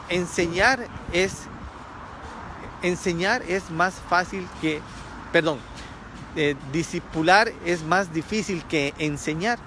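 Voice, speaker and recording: Male preacher, from Ecuador, fairly high voice, earnest, car noise around. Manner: earnest, repeats key phrases for emphasis. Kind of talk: sermon.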